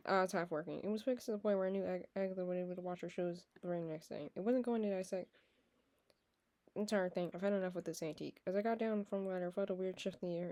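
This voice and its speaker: A woman's voice: on the low side, warm tone. A nineteen-year-old woman reading a visual novel aloud, breaking off into chatty, laughing tangents. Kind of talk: game playthrough with casual asides.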